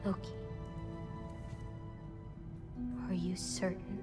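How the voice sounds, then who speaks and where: An actress playing a cautious, caring teenage girl in a video game, speaking in a measured, earnest and determined way. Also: lowish voice, little pitch movement, big-room sound, loud music behind the voice, lightly acted. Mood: concerned. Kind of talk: naturalistic video game acting.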